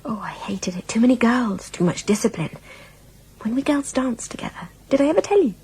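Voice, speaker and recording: Woman playing girlish, very animated young woman. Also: a little high, brisk, noisy background, natural voice. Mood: playful. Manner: breezy, dismissive, playful. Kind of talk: dramatic radio play acting.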